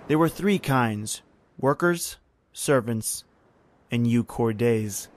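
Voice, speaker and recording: An actor playing a hateful old servant in a radio horror drama, speaking with deliberate menace. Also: male voice, medium pitch, lively intonation. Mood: bitter, hateful. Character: bitter old male servant consumed by lifelong hatred.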